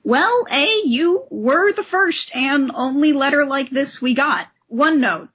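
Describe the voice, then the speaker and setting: A woman co-hosting a comic discussion podcast, expressive and theatrical when she reads aloud. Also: high voice, takes it slowly. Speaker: podcast co-host. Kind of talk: comic discussion podcast with dramatic reading of letters.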